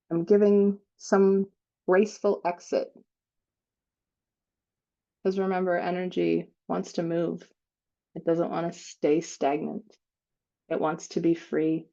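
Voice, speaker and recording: Slow, low-pitched woman guiding meditation. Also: creaky, warm tone, highs cut off. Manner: slow, unhurried phrasing with long pauses. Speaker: meditation guide, host. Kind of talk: guided meditation, spiritual visualization.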